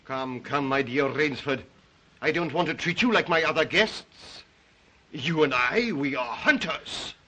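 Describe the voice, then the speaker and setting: An actor playing a suave, psychotic aristocratic hunter of men, courteous and menacing in an old 1930s melodrama style. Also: male voice, fairly high pitch, animated, a little slow, sharp tone, full character voice. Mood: smoothly courteous. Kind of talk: 1930s melodrama film acting.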